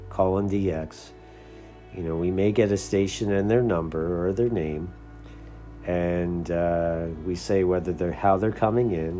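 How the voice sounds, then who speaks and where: A man's voice: fairly low pitch, mellow. An American man talking casually and off the cuff as a hobbyist host, explaining things in an earnest, conversational way. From United States. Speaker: CB radio hobbyist and video host. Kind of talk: casual unscripted talk.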